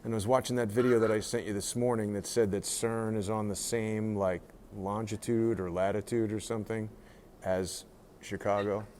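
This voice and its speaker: Male tour streamer, lowish pitch, inquisitive casual commentary and reading aloud, faintly noisy indoor recording. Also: little pitch movement, normal speed, darkish tone. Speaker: host of a live-streamed tour. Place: indoors, walking around a research facility. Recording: noisy live stream in a public building.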